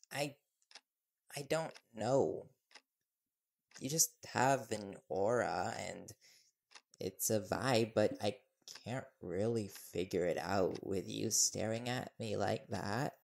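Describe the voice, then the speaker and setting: A man's voice: on the low side, extremely slow, creaky, pauses often, full character voice. A man playing an earnest, nervous young reporter boyfriend in an intimate audio roleplay, hesitant and deferential.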